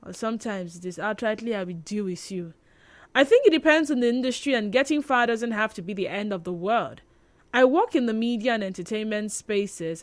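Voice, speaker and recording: A woman with a Nigerian accent hosting live radio, reading listener messages aloud in an expressive, conversational way. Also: medium pitch, no echo. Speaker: radio show host. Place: radio studio.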